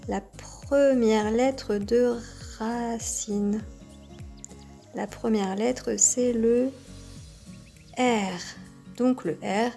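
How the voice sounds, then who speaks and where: A woman teaching a kindergarten class by video, speaking gently and articulating clearly. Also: fairly high pitch. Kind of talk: teaching young children by video.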